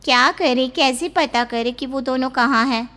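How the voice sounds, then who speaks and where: A woman's voice: high voice, moderate pace, a bit bright. A woman playing an anxious, scolding village wife in a horror audio story. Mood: worried. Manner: fretful, scolding. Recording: reverberant, faint noise.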